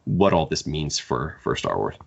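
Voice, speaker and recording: A man with a very deep voice chats as a podcast host. He speaks in a halting, stammering way, looping back over his phrases. The recording is a little dull and lo-fi. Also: big pitch swings, gravelly, breathy edge. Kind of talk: casual podcast discussion. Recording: dull, lo-fi sound.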